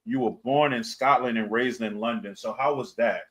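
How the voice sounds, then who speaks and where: A man's voice: medium pitch, moderate pace. A man hosting a casual podcast interview, speaking in a hesitant, loosely improvised way. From New York, United States. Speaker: podcast host.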